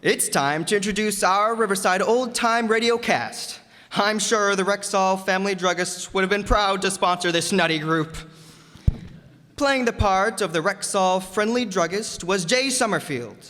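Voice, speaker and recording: A man's voice: high voice, normal speed, sharp tone. A man announcing cast credits in old-time radio style to an audience in a town hall. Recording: echoey hall, crowd, noisy background.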